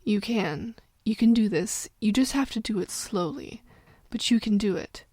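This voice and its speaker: A woman voicing a protective male demon father, urgent and hushed, in a fan audiobook. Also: mid-range voice, moderate pace, husky, dry recording, clean studio sound, natural voice. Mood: hushed, reassuring.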